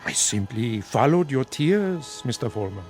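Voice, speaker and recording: An actor playing a sinister dead dictator who mentors from the shadows in a radio drama, with a theatrical, insinuating, oratorical manner. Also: male voice, medium pitch, very animated, moderate pace, a little mellow, soft background music, natural voice. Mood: smug, persuasive.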